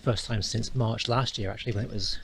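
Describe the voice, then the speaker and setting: A man's voice: fairly low pitch, fairly even intonation, fairly quick, creaky, a little hiss or hum. Man chatting on an outdoor hike. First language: not a Welsh speaker. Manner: chatty, thinking aloud with small restarts. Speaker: hiking vlogger. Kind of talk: walking vlog narration. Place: outdoors on a hillside in the countryside.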